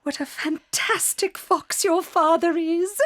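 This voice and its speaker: A woman playing a gentle, weary mother fox, dreamy and fond, in a children's audio drama. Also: very high-pitched, fairly even intonation, slow. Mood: fond, delighted.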